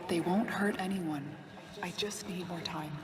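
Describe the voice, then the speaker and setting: A woman playing a gentle, compassionate, motherly figure in a video game, tender and earnestly pleading. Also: on the low side, unhurried, a crowd in the background, close to an everyday voice. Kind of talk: dramatic video game acting. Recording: echoey, distant, loud music behind.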